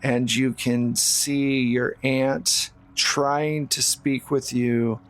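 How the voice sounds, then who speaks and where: A man's voice: mid-range voice, flat delivery, very slow. A man narrating a horror tabletop roleplaying game as the game master. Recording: music behind the voice.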